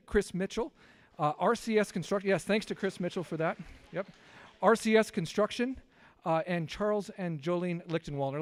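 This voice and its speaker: A man hosts an evening lecture, welcoming the crowd and stumbling through his thanks with lots of fillers. His voice sits a little high for a man, and it is picked up dry and close, with little room sound. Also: dry recording. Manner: halting, many filler words and restarts. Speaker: science communicator, event host. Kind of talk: event introduction and sponsor thanks. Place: lecture event hall.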